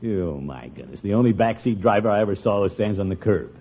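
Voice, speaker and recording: Pompous, flustered middle-aged American official, low voice with big swoops, vintage radio comedy blusterer. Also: male voice, expressive, fairly quick. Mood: fretful muttering. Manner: blustering, exasperated mutters and swooping exclamations. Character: pompous, flustered middle-aged male water commissioner courting a lady. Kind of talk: 1950s radio comedy acting. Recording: tinny, noisy old radio broadcast.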